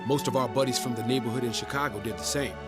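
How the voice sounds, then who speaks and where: Man as proud American soldier, loud music behind. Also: medium pitch, quick pace, lightly acted. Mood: proud resolve. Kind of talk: first-person scripted war game narration. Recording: loud music under narration.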